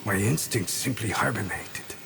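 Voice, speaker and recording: An actor playing a weary, guilt-ridden but resolute veteran assassin, narrating his past in a solemn, measured video game voice-over. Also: lowish voice, creaky, noisy background. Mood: brooding, resolute. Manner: brooding, measured, literary.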